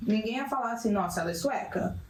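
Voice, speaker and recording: A woman from Sweden, Portuguese her first language, telling her story as a podcast guest in casual chat, animated and emphatic. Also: fairly high pitch, fast. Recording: echoey room with faint background noise.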